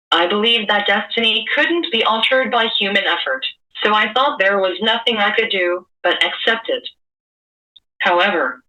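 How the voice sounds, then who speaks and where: A woman's voice coming from a speech device, with even, measured, prepared delivery. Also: mid-range voice, distant mic, rough recording. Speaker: guest speaking through a speech device. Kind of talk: synthesized speech from a communication device.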